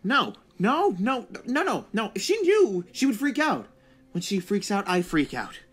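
Girl doing a jittery teen superhero boy for a fandub, stumbles over words, defensive, really animated pitch. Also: unhurried, breathy edge. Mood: agitated. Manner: hesitant, stammering. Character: nervous, earnest teenage boy superhero, stammering and defensive. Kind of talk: amateur fan dub of a film scene.

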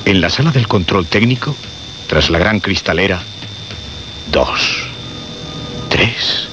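A man giving solemn, suspenseful horror narration with dramatic pauses and repetition. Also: deep voice. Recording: muffled radio recording with music behind.

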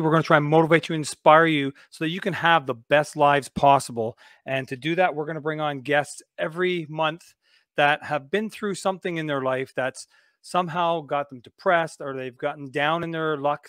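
A man in his forties hosts a casual podcast over a video call. He sounds relaxed and chatty, pitched a little high, with plenty of filler words. The sound is dry and close. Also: no echo. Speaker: podcast host. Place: home studio, indoors. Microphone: studio mic on a video call.

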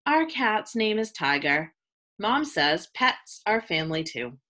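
A woman with a bright, forward voice reads a picture book aloud for young children. She speaks as a teacher would, with expressive phrasing at a medium pitch. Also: slow pace. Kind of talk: children's read-aloud, scripted narration.

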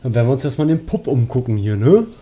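A man's voice: medium pitch, animated, fast, dark tone, slight background noise. A man giving casual let's play commentary in colloquial, muttered asides. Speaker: let's player.

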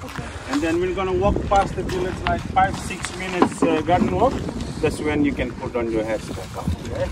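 A man working as a tour guide, calmly explaining village customs outdoors by a river. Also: sits high, a little fast, very noisy. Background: loud music.

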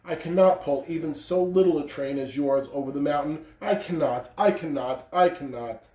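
A man voicing a tired, rusty old storybook train engine, slow and sighing. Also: fairly high pitch, mellow, close to an everyday voice. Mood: weary, refusing. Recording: roomy living-room echo.

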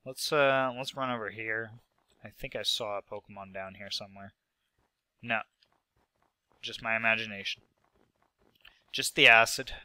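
A man's voice: fairly low pitch, fairly even intonation, normal speed, long pauses, bright, forceful tone, smooth, no room sound, rough recording. A young man with a stuffy nose, sick, talking through his gameplay casually with rambling asides and fillers. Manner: rambling, self-mocking, lots of fillers. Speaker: gaming video host.

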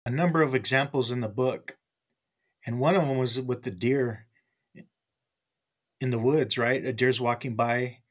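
A man's voice: medium pitch, steady tone, measured pace, long pauses. A man hosts an interview, asking brief questions to prompt stories. He speaks into a headset from a home office lined with books. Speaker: podcast host. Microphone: headset microphone.

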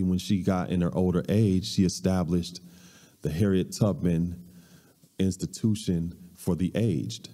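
A man with a low voice and a very dark, mellow tone gives a conversational, measured church talk with plenty of ums. He speaks into a stand mic a few steps away. The large hall lays a long reverb over every word. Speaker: guest speaker. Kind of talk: lecture at a church service. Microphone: stand mic at a few steps, far camera.

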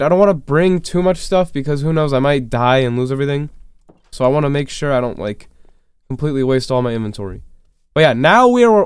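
A man's voice: on the high side, clear, resonant tone, dry recording. Congested man rambling over gameplay. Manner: excitable, rambling, quick frustrated outbursts. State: sick, slightly congested. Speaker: gaming YouTuber. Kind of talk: casual gameplay commentary. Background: faint game music.

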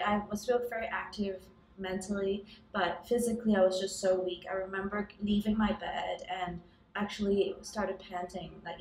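A young woman from Hong Kong, a startup founder, answering interview questions in a casual, thoughtful way with frequent ums. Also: mid-range voice. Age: young adult. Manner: thoughtful, many ums and restarts, occasional laughs. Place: office room by a large window with city towers outside. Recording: roomy echo, somewhat distant mic.

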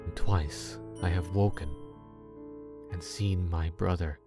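A man narrating a dark scripted story in a brooding way, with dramatic pauses. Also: low voice, steady tone. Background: background music.

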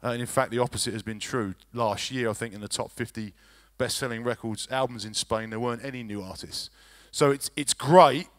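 Man talking business on a conference stage. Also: medium pitch. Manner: measured, many uh fillers and restarts. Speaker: record label digital executive on panel. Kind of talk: conference panel talk. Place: large conference hall stage. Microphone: handheld mic.

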